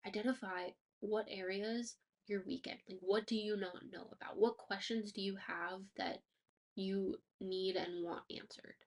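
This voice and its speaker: A woman hosting a personal finance podcast, sounding a bit stuffy and nasal from allergies, upbeat and motivational with casual fillers. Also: mid-range voice. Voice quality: slightly nasal. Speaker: podcast host. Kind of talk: scripted podcast monologue.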